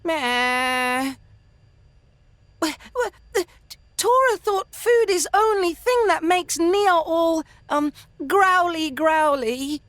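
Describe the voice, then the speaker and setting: Small male game creature, extremely high-pitched, childlike, slow halting delivery, broken grammar, dry recording. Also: animated, a bit bright, no room sound, full character voice. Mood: flustered. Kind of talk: video game character acting.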